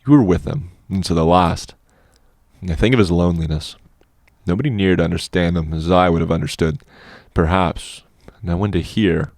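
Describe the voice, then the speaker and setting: Grieving young woman, earnest and breaking, guy reading her in a low dry voice. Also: normal speed, darkish tone, no room sound.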